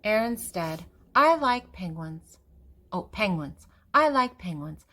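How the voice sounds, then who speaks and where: An older woman reads aloud from a children's picture book. Her pace is slow and deliberate, with a mid-range pitch. She is expressive and puts clear emphasis on key words. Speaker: children's story reader and channel host.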